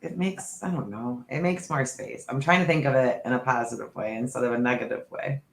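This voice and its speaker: A man of middle pitch co-hosting a casual podcast, musing aloud and circling back on his points. His voice rings with a noticeable room echo. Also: a little fast, vocal fry, slight background noise. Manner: rambling, reflective, talks through ideas aloud. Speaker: podcast co-host. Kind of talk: casual chat.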